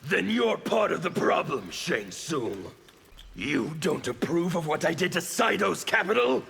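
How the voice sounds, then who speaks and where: Fanatical chaos warrior, fighting game, gravelly rasp, slow taunting delivery, noisy hall reverb. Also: male voice, fairly high pitch, sing-song, fairly bright tone, exaggerated voice. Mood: defiant, taunting. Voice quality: gravelly, harsh, strained rasp. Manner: theatrical, defiant, taunting. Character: fanatical male anarchist warrior preaching chaos and freedom. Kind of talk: fighting game character acting. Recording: reverberant hall, background noise.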